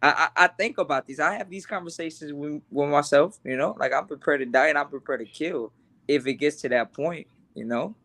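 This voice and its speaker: A man's voice: fairly high pitch, a little fast, fairly bright tone. A young man who grew up between Los Angeles and Honduras, speaking earnestly and casually in an interview, often repeating words as he starts a thought. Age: young adult. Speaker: boxer, interview guest.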